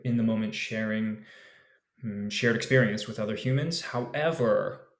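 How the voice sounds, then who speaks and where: A man's voice: on the low side, moderate pace. A man in his forties, an actor on a discussion panel, talking casually and searching for his words with many restarts. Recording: echoey room.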